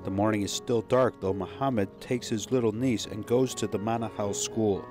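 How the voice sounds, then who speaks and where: Low-voiced male news narrator. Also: fairly even intonation, normal speed, music in the background.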